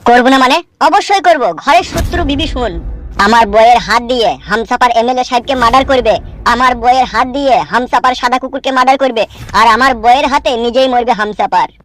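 A man's voice: very high voice, fast, fairly bright tone, slight background noise. A man voicing a scheming, coarse-talking, nervous village crook in a comedy cartoon. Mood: gleeful, scheming. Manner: coarse slangy back-and-forth.

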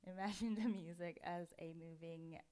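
A woman in her thirties giving a relaxed, chatty talk at a conference, often pausing with ums. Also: lowish voice. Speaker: conference speaker. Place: dark auditorium, at a lectern before an audience.

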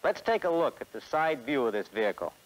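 A man's voice: medium pitch, sing-song, brisk, poor audio. A man in his forties with an American accent giving a scripted sales presentation in a 1960s promotional film. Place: film studio beside a truck.

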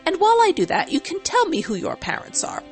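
A woman's voice: extremely high pitch, wildly swooping pitch, quick pace, soft background music, voice pushed up, heavily acted. A woman voicing a fussy but caring cartoon unicorn mare, haughty, refined and theatrical, in a dramatic story reading. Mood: condescending, softening.

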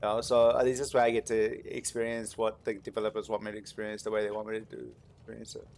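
A man's voice: fairly low pitch, little pitch movement, fast talker, darkish tone. A man giving casual gameplay commentary, rambling and thinking aloud as he hosts. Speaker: gaming video host. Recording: clear background noise, game sound. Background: video game ambience.

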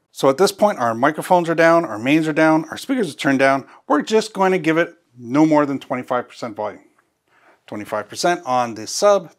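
A man in his fifties hosting an instructional walkthrough, explaining each step in a casual way. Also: medium pitch. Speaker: host of audio gear tutorial. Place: small indoor studio. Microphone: lavalier.

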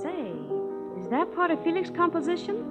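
A bright, teasing young woman. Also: very high-pitched, moderate pace. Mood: playful. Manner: lively teasing banter. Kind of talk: 1930s radio play acting. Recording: dull old radio broadcast with music behind.

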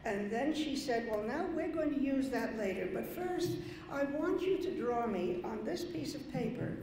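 An older woman from the Dominican Republic, speaking at a podium before a poetry reading, unhurried and anecdotal with small asides. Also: a little high, lively intonation, dark tone. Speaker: poet giving a reading. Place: large conference hall, at a podium. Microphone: podium mic on a gooseneck stand. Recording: very echoey hall with faint noise.

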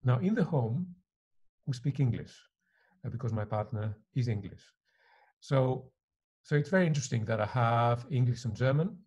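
A middle-aged man from Greece, a native Greek speaker, giving reflective interview answers as a professor with a slightly husky voice. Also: mid-range voice, lively intonation, normal speed, darkish tone, muffled recording. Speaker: university professor being interviewed. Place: attic living room at home.

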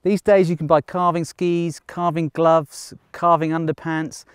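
A man's voice: a little high, level tone, measured pace, smooth, dry recording, clean studio sound. Upbeat man teaching skiing outdoors. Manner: upbeat, plain explaining with light jokes. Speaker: ski instructor. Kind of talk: on-slope ski lesson. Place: outdoors on a snowy ski slope.

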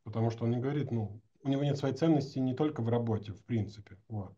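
A man's voice: mid-range voice, fast, dark tone. A man of about forty hosting an online meeting, explaining things casually over a video call. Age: around 40. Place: small indoor room at a desk. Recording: slightly dull video-call audio.

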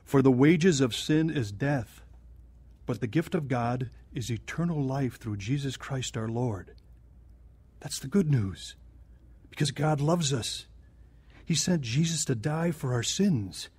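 An actor playing a kindly, earnest pastor counseling from scripture in a radio drama. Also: male voice, medium pitch, animated, slow, a little mellow, husky, no echo. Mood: reassuring, earnest.

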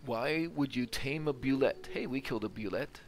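A man's voice: medium pitch, moderate pace. A man hosting a gaming video, giving playthrough commentary and reading in-game text aloud. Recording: faint game ambience behind.

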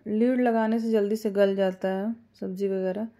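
A woman calmly talking through a home cooking recipe step by step, with small hesitations. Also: mid-range voice, moderate pace. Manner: calm step-by-step instructions with small fillers. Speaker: cooking channel host. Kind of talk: home cooking tutorial voice-over.

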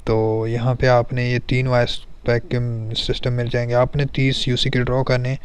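Male gaming host, crisp clean voice, mid pitch, conversational tutorial narration. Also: level tone, moderate pace. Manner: conversational, explanatory. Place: voice-over on game screen recording.

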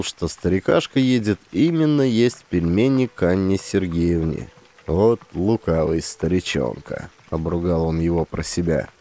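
A man narrating an audiobook in an expressive storytelling manner. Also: low-pitched. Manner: expressive storyteller, voices characters. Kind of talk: dramatic audiobook reading.

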